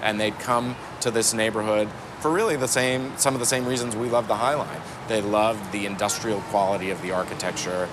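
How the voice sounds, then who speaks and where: Low American man amid street noise. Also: fairly even intonation, brisk, hardly any pauses. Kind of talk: documentary interview. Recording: outdoor city traffic noise.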